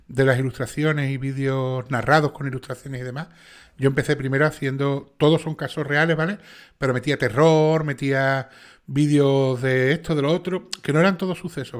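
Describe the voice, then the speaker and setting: A man's voice: mid-range voice, fairly even intonation. A man with a strong Sevillian Andalusian accent, hosting a casual livestream chat and checking in often with his listeners. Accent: Sevillian Andalusian Spanish. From Seville, Spain. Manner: fast, chatty, with many restarts and "¿vale?" checks. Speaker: channel host and narrator.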